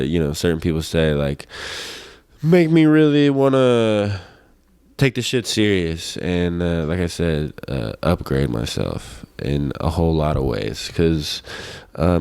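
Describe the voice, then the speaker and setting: A young man in his twenties with a very deep, smooth voice talks in a loose, laid-back ramble. His casual, slangy delivery is sprinkled with profanity, and the sound is close and dry. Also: a little mellow, no room sound. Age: 20s. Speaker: host of a personal vlog show. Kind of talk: casual rambling solo chat.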